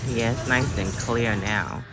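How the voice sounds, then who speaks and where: Chatty woman narrating over loud music. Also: extremely low pitch, measured pace. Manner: chatty and relaxed, with slang and fillers. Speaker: vlogger narrating her shopping trip.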